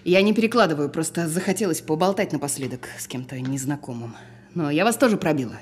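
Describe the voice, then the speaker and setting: Quick-talking, despairing woman caller. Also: low-pitched, animated, slight background noise. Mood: resigned. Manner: blunt, resigned. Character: despairing, weary, insistent woman making a phone call. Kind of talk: audio drama phone dialogue.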